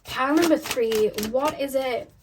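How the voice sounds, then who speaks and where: A woman with a slightly high voice talks through a tarot reading at her desk at home. She is chatty and muses aloud, often repeating her questions to her spirit guides. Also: a little slow, background noise. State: voice going a little deep. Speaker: tarot reader. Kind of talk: casual tarot reading to camera. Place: indoors at home.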